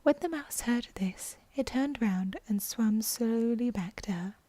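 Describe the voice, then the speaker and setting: A woman narrating a storybook in a soft, breathy ASMR bedtime style, close to the microphone. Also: a little high, measured pace, no echo. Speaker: audiobook narrator.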